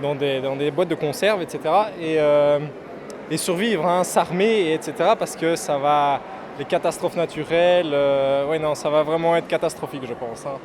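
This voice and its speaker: Guy on the street, kinda high voice, blunt and doomy, traffic noise behind. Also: normal speed. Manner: blunt, doom-laden and emphatic. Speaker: passer-by in street interview. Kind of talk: street vox pop.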